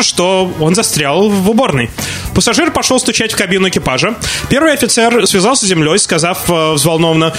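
A man with a fairly high voice co-hosts a playful news show and spins stories for laughs. Faint music sits under his voice. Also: fairly bright tone. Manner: joking, retells stories with rising pitch. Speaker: show co-host. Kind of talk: humorous news chat.

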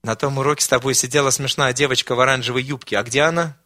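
A man's voice: mid-range voice, steady tone, racing, bright, forceful tone, lightly acted. A man voicing a shy young woman student. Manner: shy, embarrassed.

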